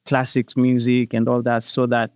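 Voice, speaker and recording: Smooth-voiced Kenyan man, close dry sound. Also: medium pitch, monotone, moderate pace, no echo. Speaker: musician guest, singer and rapper. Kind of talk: casual interview chat.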